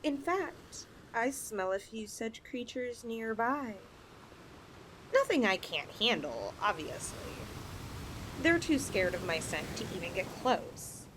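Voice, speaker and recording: High, lilting, possessive snake-woman played by an actress. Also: very animated, slow, noisy background. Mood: teasing, ominous. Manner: coaxing, sweetly condescending, teasing. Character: possessive, flirtatious snake-woman lamia seeking a mate. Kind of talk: roleplay ASMR acting.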